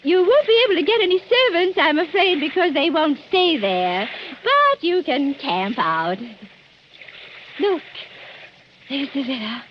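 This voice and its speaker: High, gushing, flirtatious countess. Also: female voice, sing-song, measured pace. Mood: eager, commanding. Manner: gushing, theatrical. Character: flirtatious, gushing countess fascinated by wicked things. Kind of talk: 1940s radio acting. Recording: muffled, noisy old radio recording.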